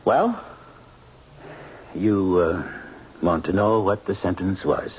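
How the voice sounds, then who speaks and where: A man's voice: low-pitched, lively intonation, slow, darkish tone, slight background noise. An actor playing a weary, hesitant middle-aged judge and father in an old-time radio drama. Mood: weary, resigned. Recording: thin, phone-like old radio recording.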